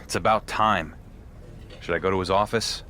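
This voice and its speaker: A man's voice: low-pitched, fairly even intonation, normal speed, bright, forceful tone, a little hiss or hum. A man playing a wary, frustrated champion wrestler in a game cutscene, speaking in a plain, skeptical way. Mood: wary, resigned. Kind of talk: acted video game cutscene dialogue.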